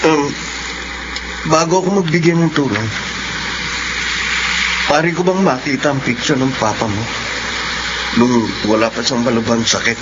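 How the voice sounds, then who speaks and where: A man's voice: medium pitch, a little slow, long pauses, lightly acted. A Filipino man playing a guarded, serious young student searching for his father, in a radio drama. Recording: muffled radio drama, faint background noise.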